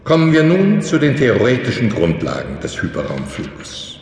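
Echoey, methodical lecturing professor. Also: male voice, medium pitch, wildly swooping pitch, a little mellow, a little hiss or hum. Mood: methodical, instructive. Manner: formal, didactic, measured explaining. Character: male professor lecturing trainee pilots, instructive and methodical. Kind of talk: German sci-fi audio drama lecture. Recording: echoey lecture hall, music underneath.

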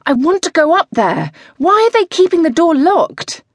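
A woman voicing a young female track cyclist, tense and volatile, her voice high and strained. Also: big pitch swings, slow pace, darkish tone, breathy edge, no echo, studio quality, heavily acted. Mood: impatient.

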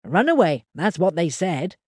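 A meek, worried farmer, put on by a woman narrator speaks in a low, unhurried drawl. The voice sits well below the narrator's own and still rises and falls a lot. It is close and dry, like a storybook reading. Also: big pitch swings, a little mellow, husky, no room sound. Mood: uneasy.

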